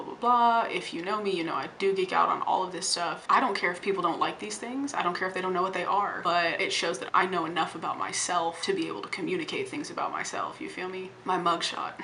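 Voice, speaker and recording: A young woman vlogging at home with a deep voice, blunt, wry and profane, often talking in a hushed half-whisper. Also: gravelly, a little hiss or hum. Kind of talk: casual vlog talk to camera. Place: home room.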